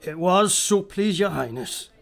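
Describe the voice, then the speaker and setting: Man acting a high, creaky-voiced hired murderer. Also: lively intonation, measured pace, exaggerated voice. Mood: bitter, deferential. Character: weary reckless male murderer for hire. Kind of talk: classical Shakespearean radio drama acting.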